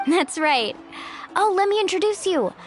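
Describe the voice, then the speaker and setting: A woman's voice: extremely high pitch, animated, moderate pace, soft background music. An actress playing a sunny, easygoing young woman, a breezy and friendly guide in a video game. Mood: cheerful. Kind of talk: video game character acting.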